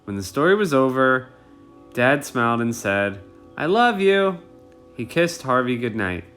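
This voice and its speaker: Guy reading a kids book out loud, really animated, bit of music playing. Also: mid-range voice, moderate pace, clean tone. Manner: animated, addresses young listeners. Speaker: read-aloud storyteller. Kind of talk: expressive children's picture book reading.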